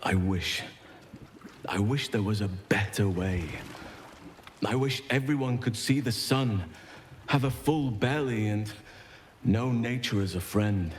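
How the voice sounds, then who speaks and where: An actor playing a gentle, weary nature idealist in a video game, speaking in a measured, earnest way. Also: male voice, fairly low pitch, slight background noise. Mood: wistful, earnest. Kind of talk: video game dialogue acting.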